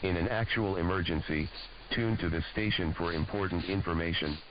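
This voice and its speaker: A man's voice: low-pitched, brisk, muffled recording, very noisy. A man reading a formal scripted test announcement as an emergency alert announcer.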